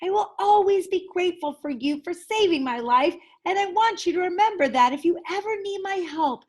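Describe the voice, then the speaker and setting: A woman's voice: very high-pitched, animated, moderate pace, darkish tone, voice pushed up. A woman voicing a small, dainty male mouse from a storybook, earnest and proud, speaking emphatically. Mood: earnest, grateful.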